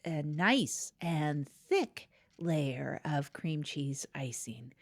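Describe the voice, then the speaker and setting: A woman hosting an improvised comedy podcast, talking in a conversational, deliberate, explanatory way. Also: deep voice, expressive, no echo, studio quality. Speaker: podcast host.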